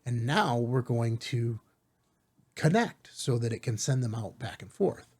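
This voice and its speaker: Casual male tutorial host, slightly husky. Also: medium pitch, expressive, unhurried. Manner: informal, reassuring asides with rhetorical check-ins. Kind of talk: casual step-by-step software tutorial.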